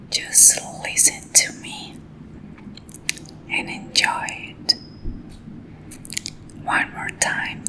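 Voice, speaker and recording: A young woman from Colombia with a Colombian Spanish accent, a native Spanish speaker, reading a story in soft, intimate ASMR whispers into a close microphone. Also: poor audio. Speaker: ASMR creator.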